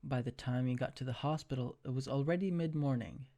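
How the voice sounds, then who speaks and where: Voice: mid-range voice, a little fast, mellow. A narrator reading second-person fan fiction as scripted storytelling.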